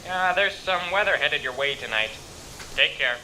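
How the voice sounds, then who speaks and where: Stern male park service boss, very bright sharp voice, halting officialese, faint noise. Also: a little high, animated, poor audio. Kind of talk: audio drama acting.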